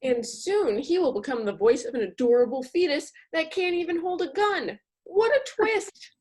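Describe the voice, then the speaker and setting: A woman narrates comedy in a high, very expressive voice. She sounds theatrical and wry as she reads the script. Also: moderate pace. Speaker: comedy troupe performer, narrator.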